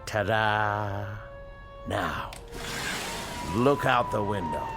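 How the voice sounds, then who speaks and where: A slow, expressive, earnest scientist. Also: male voice, on the low side, music in the background, heavily acted. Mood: reassuring. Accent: American. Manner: measured, articulate, questioning. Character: earnest scientist, educated, patient, morally probing male doctor. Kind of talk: radio drama acting.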